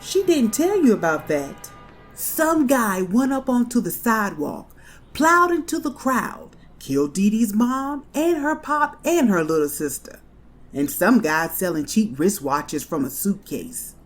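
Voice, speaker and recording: A woman's voice: medium pitch, sing-song, unhurried, faint music behind. A woman playing a blunt, folksy, protective middle-aged shopkeeper in a comic dub. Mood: concerned.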